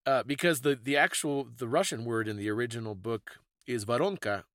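A man's voice: medium pitch, brisk, a bit bright, no echo, studio quality. A man co-hosting a podcast, casually discussing folklore and stories in a thoughtful, explanatory way. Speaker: podcast co-host.

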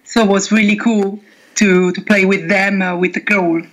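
A young woman with an Italian accent, answering interview questions haltingly, with many pauses and restarts. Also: medium pitch, level tone, rough recording. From Italy. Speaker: metal band singer.

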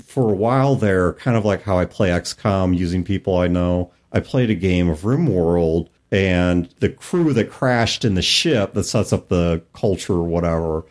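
A man's voice: sits low, moderate pace, hardly any pauses, a little mellow. A man hosting a podcast, chatting casually about games. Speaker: podcast host. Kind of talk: casual discussion of role-playing games.

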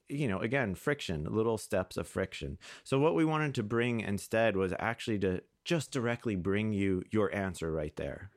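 Low-voiced man explaining, dry close sound. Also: no room sound. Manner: halting, explanatory, quotes imagined dialogue. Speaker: interview guest, product manager. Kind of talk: conversational explanation in an interview.

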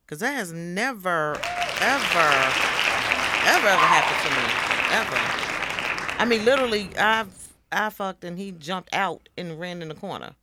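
A woman with a deep voice talking frankly and explicitly as a guest on a casual podcast. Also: expressive, measured pace, over a noisy crowd, heavy background noise. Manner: bold, explicit and matter-of-fact.

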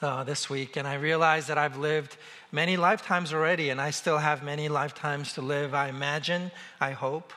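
A man in his forties from South Korea delivers a sermon in a bright, forceful voice that sits a bit high for a man. He speaks in a measured, emphatic way and lingers on each word when he lists things. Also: steady tone. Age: 40s. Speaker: pastor.

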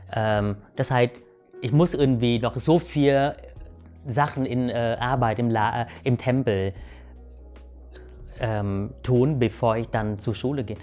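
Halting man. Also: mid-range voice, a little mellow. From Vietnam. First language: Vietnamese. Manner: halting, many restarts and fillers, asks "weißt du". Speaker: guest. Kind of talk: reflective personal storytelling.

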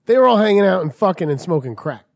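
A man's voice: high voice, very animated, fast, creaky, a little mellow, no echo, studio quality. A man co-hosting a podcast, bantering casually with sarcastic, profane humour. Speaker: podcast co-host.

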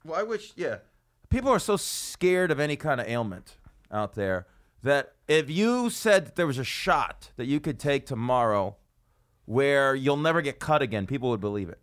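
A man's voice: medium pitch, big pitch swings, moderate pace. A man hosting a podcast from a studio, joking and rambling sarcastically in casual comedic chat. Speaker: podcast host.

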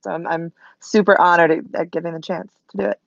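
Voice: medium pitch, level tone, fast talker, gravelly, dry recording, highs cut off. A writer chatting casually on a panel, effusive but hesitant. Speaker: audio drama writer.

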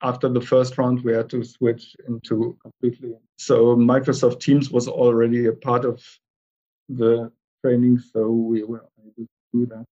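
A man speaking as a panel presenter, plain and halting, with restarts. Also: medium pitch, monotone, mellow, dull audio. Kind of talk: casual presentation.

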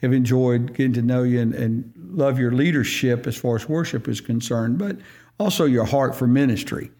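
A man in a mid-range voice hosts a church podcast in an easygoing, folksy way. He chats warmly with his guest and fills the gaps with quick yeahs and rights. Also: mid-range voice. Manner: relaxed, folksy, frequent affirmations. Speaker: podcast host. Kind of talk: casual church podcast interview.

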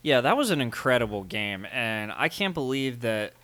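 A young man with a smooth, resonant voice chatting about football in a relaxed, easygoing manner. He sits at a middle pitch and shares his memories conversationally as a podcast co-host. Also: moderate pace. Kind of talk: casual sports chat.

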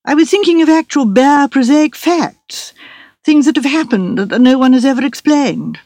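A woman's voice: high-pitched, lively intonation, moderate pace, darkish tone, dry recording, clean studio sound, pitched above the performer's own voice. Woman playing a self-important, debonair young writer. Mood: impulsive, insistent. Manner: debonair, patronising.

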